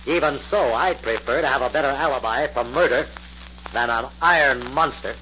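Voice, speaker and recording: An actor playing a brisk, skeptical investigator who takes charge in a 1940s radio mystery, clipped and authoritative. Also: male voice, medium pitch, natural voice. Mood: skeptical, stern. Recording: muffled, very noisy old-time radio recording.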